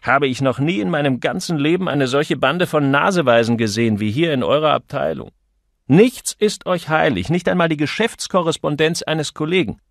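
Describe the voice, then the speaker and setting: A man's voice: on the high side, moderate pace, creaky, dry recording, clean studio sound, voice pushed up. A man voicing a cheerful, blustering office colleague in an audiobook, speaking with emphasis and mock indignation.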